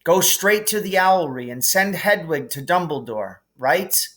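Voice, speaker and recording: Man voicing earnest young boy wizard, raised pitch. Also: animated, a little slow, a bit bright. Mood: earnest, urgent.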